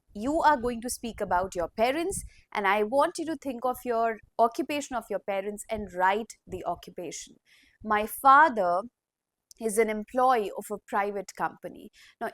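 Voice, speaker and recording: A woman teacher, slowly dictating model sentences in a classroom language lesson. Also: a little high, a bit bright, no room sound.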